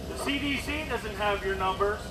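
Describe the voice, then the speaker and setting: A man's voice: high voice, moderate pace. A man giving an impassioned, confrontational protest speech through a bullhorn, addressing an official as sir. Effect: bullhorn amplification. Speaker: protester, activist. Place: outdoors on a street outside a government mansion. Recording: echoing bullhorn, noisy outdoor street recording.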